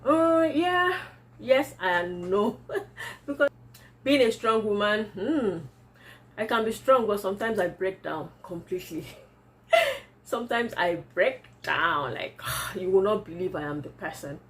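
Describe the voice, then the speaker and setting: A woman's voice: medium pitch, expressive, a little slow. A woman with a Nigerian accent, whose first language is Yoruba, chatting casually in a vlog and laughing often as she answers viewer comments. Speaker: vlogger.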